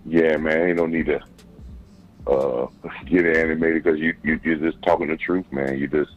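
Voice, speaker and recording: A man calling into a sports show, chatting casually in a halting way and repeating words as he goes. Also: a bass voice, steady tone, highs cut off, soft background music. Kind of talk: casual chat.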